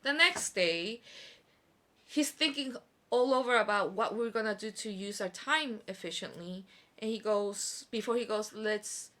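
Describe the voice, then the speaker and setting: A woman's voice: medium pitch, pushed and bright. A young woman from Korea chatting casually as a podcast guest, rambling with restarts and laughing often. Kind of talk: casual chat.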